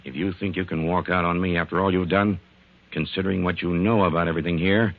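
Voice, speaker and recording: An actor playing a stern, menacing boss in a 1940s radio mystery, firm, commanding and insistent. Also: male voice, deep voice, fairly even intonation, fast. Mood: threatening, insistent. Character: stern, menacing boss pressuring a reluctant subordinate. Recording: narrow-band scratchy 1940s radio recording.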